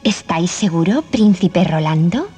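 Poised young Castilian princess. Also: female voice, lowish voice, expressive, a little slow, dark tone. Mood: knowing, composed. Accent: Castilian Spanish. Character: proud young princess, softening. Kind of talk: children's storybook dramatization. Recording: 1980s cassette, music behind.

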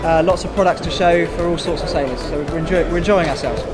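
A man's voice: fairly high pitch, quick pace, loud music behind the voice, a loud crowd. A man in his thirties answering interview questions at a boat show stand, friendly and explanatory. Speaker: exhibitor.

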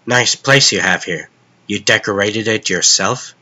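A man playing a calm, weary costumed vigilante in a comic dub, earnest, understated and matter-of-fact. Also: lowish voice, measured pace, exaggerated voice.

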